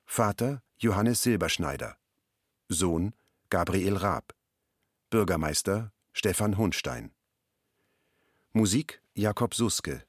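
Deep-voiced, measured male announcer. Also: lively intonation, no echo, studio quality. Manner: measured, item-by-item delivery. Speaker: radio drama announcer reading the credits. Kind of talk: formal scripted credits announcement.

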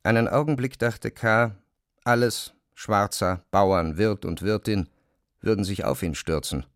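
A man's voice: on the low side, a little slow, no room sound. A man reading scripted literary narration as the narrator of a classic novel, recorded close in a studio.

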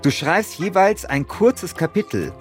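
A man co-hosting a podcast in a casual, animated way. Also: high voice, a little slow, faint music behind. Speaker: podcast co-host. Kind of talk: casual animated chat, reading out his own text.

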